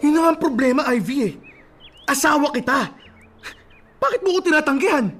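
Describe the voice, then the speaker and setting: A man's voice: very high-pitched, animated, a little fast, a bit bright, slight background noise. A man acting a demanding, short-tempered husband in a radio drama. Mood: domineering. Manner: insistent, demanding.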